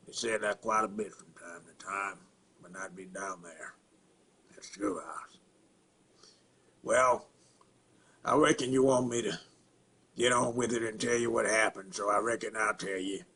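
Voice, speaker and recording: A man acting a simple-minded rural Southern man in a halting, plainspoken dramatic film monologue, with a throaty rasp and grunts. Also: fairly low pitch, fairly even intonation, pushed and bright, slight background noise. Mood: halting, subdued. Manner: halting, repetitive, plainspoken, folksy.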